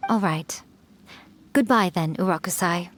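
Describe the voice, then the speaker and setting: A woman's voice: on the low side, a little slow, slight background noise, lightly acted. A woman voicing a sly, centuries-old fox yokai shrine maiden in a video game, with a playful, smug, teasing manner. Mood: fond.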